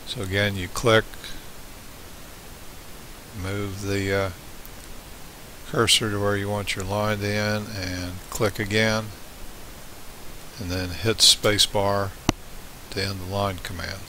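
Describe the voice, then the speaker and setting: Slow-talking man with a low, halting delivery. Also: low-quality recording. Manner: halting, pausing mid-phrase, plain explanatory delivery. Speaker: software tutorial instructor. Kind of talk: step-by-step screencast tutorial, unscripted. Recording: hissy home voice-over recording. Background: steady hiss.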